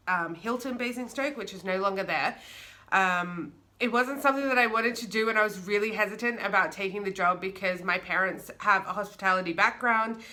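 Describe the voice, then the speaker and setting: Croaky-voiced Australian woman in her thirties. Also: lowish voice, bright, forceful tone. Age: 30s. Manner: chatty, rambling with many fillers. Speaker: vlogger. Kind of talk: casual chat to camera.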